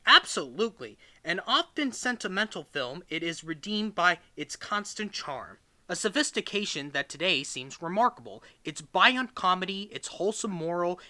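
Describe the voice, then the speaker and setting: Bright, lively male read-aloud narrator. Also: high voice. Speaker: reader of a book excerpt. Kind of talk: scripted read-aloud narration of a magazine-style history.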